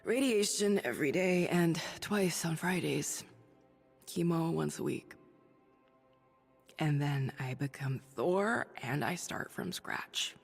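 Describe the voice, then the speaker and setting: Game role: weary, resolute sick heroine, slow and husky delivery, set in long reverb. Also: female voice, low-pitched, soft background music, exaggerated voice. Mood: tired, confiding. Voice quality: husky, breathy, creaky. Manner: halting, confiding, resolute. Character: ailing woman doctor turned hero, stubborn, weary, defiant. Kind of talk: dramatic video game acting, audio log monologue.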